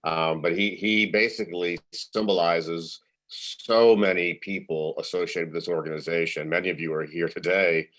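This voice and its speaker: A man's voice: low voice, fairly even intonation, normal speed, a bit bright. A man recovering from illness whose voice is partly gone, speaking earnestly on a video call and repeating words. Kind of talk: casual remarks on a video call.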